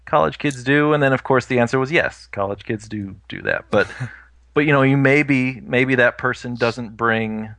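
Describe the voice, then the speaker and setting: A man's voice: medium pitch, moderate pace, fairly bright tone, no echo. A man in his thirties in casual podcast chat, talking things through thoughtfully with lots of "you know" fillers. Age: 30s.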